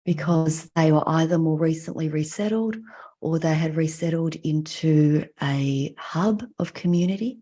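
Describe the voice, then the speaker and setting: A middle-aged woman with an Australian accent giving a reflective prepared talk with personal stories, speaking in measured, deliberate phrases with pauses. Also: low voice, dark tone. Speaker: mental health clinician giving a talk.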